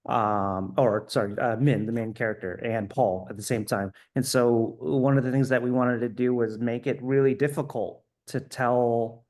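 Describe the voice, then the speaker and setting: A man's voice: medium pitch, fairly even intonation, moderate pace, few pauses, darkish tone. A man answering as a film director at a festival Q&A, thoughtful and full of ums and restarts.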